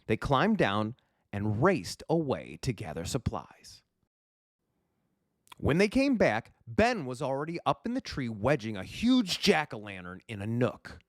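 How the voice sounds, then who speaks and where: A man's voice: medium pitch, very animated, a little slow, no room sound, clean studio sound. A man narrating a children's storybook as a reader for kids.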